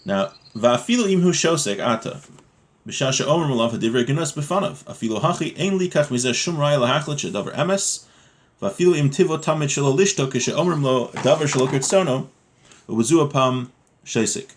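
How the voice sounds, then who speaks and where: A man's voice: medium pitch, lively intonation, fairly quick. A man with a mid-range voice teaches a religious class informally. He explains step by step in a conversational manner, checking in with the listener as he goes. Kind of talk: informal lesson reading Hebrew text and explaining it in English.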